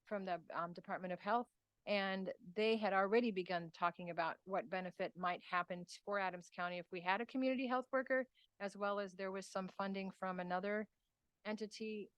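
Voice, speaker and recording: A woman's voice: mid-range voice, fairly quick, clear, resonant tone, dry recording, low-quality recording. A woman from the extension staff, explaining a grant proposal to a county committee in a conversational way and answering questions. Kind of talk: committee meeting presentation.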